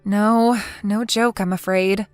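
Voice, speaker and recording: A woman playing a wronged mother in her forties in a dramatized story, earnest and aggrieved but measured. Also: medium pitch, fairly even intonation, dry recording, soft background music, lightly acted. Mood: worried, polite. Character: wronged mother over forty, indignant yet polite.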